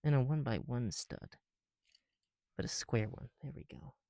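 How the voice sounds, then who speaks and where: A man chatting casually in an ASMR style as a video creator, muttering softly and sometimes dropping into a whisper, with mild swearing. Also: medium pitch, measured pace, gravelly, dry recording, clean studio sound. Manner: casual, mildly profane. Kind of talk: ASMR, casual chat while building with bricks.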